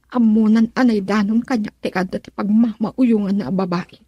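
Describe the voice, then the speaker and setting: A woman's voice: mid-range voice, warm tone, slightly rough, no echo. An actress playing a troubled, dutiful young wife and mother with a Filipino accent, earnest and pleading, in a radio drama. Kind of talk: Ilocano radio drama acting.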